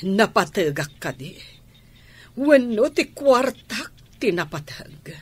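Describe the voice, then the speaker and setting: An actress playing a hurt, angry betrayed wife in a Filipino radio drama, with an emotional, deliberate delivery and a Filipino accent. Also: female voice, medium pitch, big pitch swings, breathy edge, dry recording, full character voice. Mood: wounded, accusing. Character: hurt, angry wife confronting her cheating husband.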